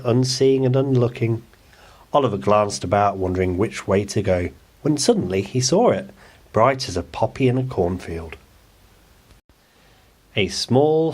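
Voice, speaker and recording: A man's voice: lowish voice, darkish tone. A man narrating a children's bedtime storybook as the story reader.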